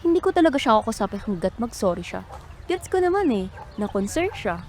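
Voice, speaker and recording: A woman's voice: a little high, big pitch swings, brisk, darkish tone, slight background noise. A woman with a Filipino accent playing a hurt, stubborn younger sister in a radio drama. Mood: indignant, hurt.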